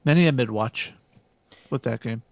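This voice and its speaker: A man on a casual podcast panel, speaking quickly and emphatically as a sports fan. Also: mid-range voice, a little mellow, dry recording. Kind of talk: casual chat.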